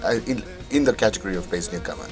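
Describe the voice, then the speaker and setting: A man's voice: deep voice, expressive, racing. A man from Namibia whose first language is Afrikaans, speaking as a musician guest in a radio interview, earnest and openly emotional. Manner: earnest, emotional, laughs easily. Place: radio studio. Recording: radio studio with music behind.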